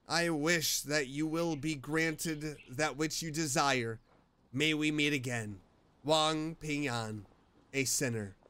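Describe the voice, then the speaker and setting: Man reading as repentant con man: high, earnest, formal game letter over faint music. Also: fairly bright tone. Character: remorseful male swindler reading out his confession letter. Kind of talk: dramatic reading of game text.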